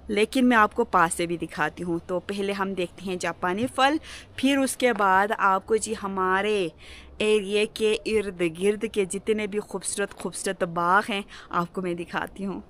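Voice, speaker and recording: गिलगित-बल्तिस्तान की एक महिला व्लॉगर, गला बैठा हुआ, नरमी से बात करती है और बार-बार "जी" बोलती है।. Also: female voice, medium pitch. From Gilgit-Baltistan, Pakistan. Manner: soft-spoken, fills with polite "ji". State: hoarse, sore throat. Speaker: village vlogger. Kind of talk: casual vlog narration. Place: home village, partly outdoors on rooftop and in garden.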